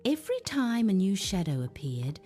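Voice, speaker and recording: A woman narrates a soothing children's bedtime story with a mellow, relaxed tone. She takes her time, letting the pitch rise and fall expressively in a gentle, hushed delivery. Music plays beneath her voice. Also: normal speed.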